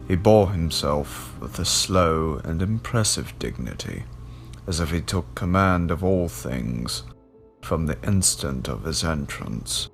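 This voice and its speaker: A man reading a gothic horror story as a scripted narration, in a measured storytelling manner. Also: low-pitched, a bit bright.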